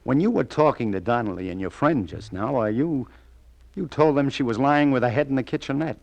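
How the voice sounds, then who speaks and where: A man's voice: mid-range voice, expressive, fairly quick, gravelly, darkish tone, highs cut off. An American man playing a shrewd, casual New York police detective lieutenant in 1940s radio crime drama style, clipped and sardonic. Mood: probing.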